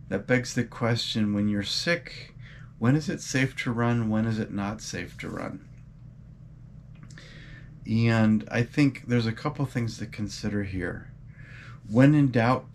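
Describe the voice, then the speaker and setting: A man hosting his running vlog, a bit congested from a cold with a mild cough, giving earnest advice with frequent um and uh pauses. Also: fairly low pitch, darkish tone, slight background noise. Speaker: running channel host. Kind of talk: casual advice vlog talk.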